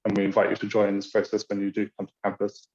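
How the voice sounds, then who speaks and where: A British man giving an online orientation talk for a university's international office, pausing often with ums and uhs. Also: fairly low pitch, completely flat delivery, darkish tone, dull audio, a little hiss or hum. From Britain. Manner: hesitant, many ums and uhs. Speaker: university international programs staff. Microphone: video call.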